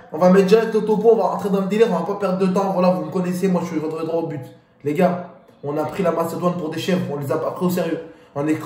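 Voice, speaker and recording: A man giving a casual, slangy pre-match football rant as host of his channel. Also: a little high. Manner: animated, slangy street talk. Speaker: football YouTuber. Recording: echoey room.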